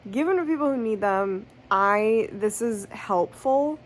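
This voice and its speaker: A woman's voice: medium pitch, animated, unhurried. A woman in her thirties vlogging about books in a rambling, casual way, tired and congested, recorded as a lo-fi phone clip. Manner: rambling, self-correcting, fillers like "like". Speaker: book vlogger. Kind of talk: casual chatty vlog. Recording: faint background noise, lo-fi phone clip.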